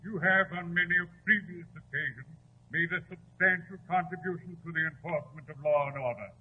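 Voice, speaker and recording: An actor in a 1940s radio drama playing a dignified judge who speaks formally and with authority. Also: male voice, on the high side, fairly quick, a little hiss or hum, lightly acted. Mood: stern, measured. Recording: muffled, tinny old radio recording.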